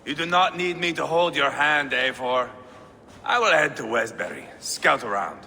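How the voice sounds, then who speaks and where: An actor playing a brash, bloodthirsty Viking warrior in a video game, blunt and sardonic. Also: male voice, mid-range voice, expressive, normal speed, gravelly, bright, forceful tone, long reverb tail, slight background noise, full character voice.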